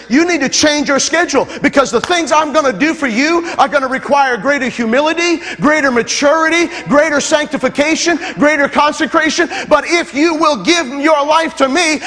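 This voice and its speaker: A male pastor with a notably high voice preaches to a congregation, stacking repeated phrases as he builds to loud, fervent peaks. He breaks off for jokes with the crowd. His voice carries a long reverb from a large hall. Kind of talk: sermon.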